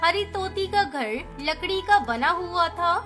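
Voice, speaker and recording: Very high-pitched woman narrating a children's story. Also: expressive, slow, fairly bright tone. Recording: music behind the voice.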